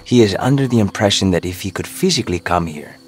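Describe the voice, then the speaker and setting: Low-voiced, serene, enigmatic guide. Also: male voice, expressive, faint music behind. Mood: calm, reflective. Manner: measured, formal, philosophical. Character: serene, enigmatic male author, a figment guide. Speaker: video game voice actor. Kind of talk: cinematic game dialogue.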